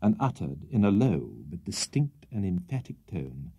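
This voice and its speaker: A man's voice: sits low, big pitch swings, normal speed, vocal fry, mellow, breathy edge. A British man reading a literary audiobook as storyteller, in a precise, theatrical manner. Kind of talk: scripted literary audiobook narration.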